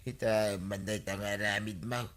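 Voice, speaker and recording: A man's voice: on the low side, fairly even intonation, normal speed, a bit bright, close to an everyday voice. A man playing a sickly, put-upon village husband who whines and complains in an Ilocano comedy radio drama. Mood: aggrieved. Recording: noticeable background noise.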